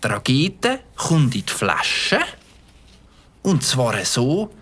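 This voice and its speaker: Man playing a bright, eager Swiss German boy. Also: medium pitch, slow, breathy edge, exaggerated voice. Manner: excitable, thinking aloud. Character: Mike, eager mischievous young boy who loves firecrackers. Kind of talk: children's audio drama acting.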